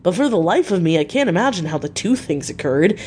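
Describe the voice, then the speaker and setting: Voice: medium pitch, very animated, fairly quick, darkish tone, a little hiss or hum, close to an everyday voice. A narrator voicing a bewildered working-class male asylum attendant who talks colloquially, as in an audiobook. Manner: colloquial, puzzled.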